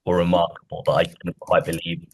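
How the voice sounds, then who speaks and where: A man with a very deep, low voice gives his thoughts as a prize judge, with faint noise under his speech. He talks haltingly, pausing with uhs and ums. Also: completely flat delivery. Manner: hesitant, with pauses and uhs. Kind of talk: panel remarks.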